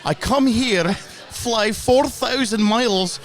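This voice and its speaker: A man's voice: very high-pitched, takes it slowly, a bit bright, faint music behind, pitched above the performer's own voice, exaggerated voice. A man putting on a rowdy Glasgow fan. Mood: boastful. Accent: Scottish put-on. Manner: rambling, exclamatory, jokey. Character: boisterous Scottish football fan from Glasgow, man. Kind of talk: casual banter while watching a game.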